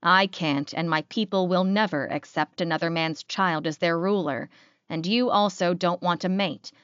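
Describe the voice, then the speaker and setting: A woman voicing a businesslike male werewolf alpha in an audiobook, measured and matter-of-fact. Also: fairly low pitch, fairly bright tone, clean tone, no echo, dull audio, lightly acted.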